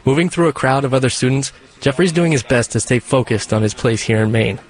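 Guy reading radio feature narration, steady measured delivery, medium pitch. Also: low-quality recording. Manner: measured, even storytelling delivery.

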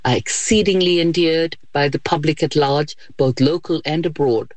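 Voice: mid-range voice, normal speed, dry recording. A zoo spokesperson reading a prepared statement in a formal, official tone.

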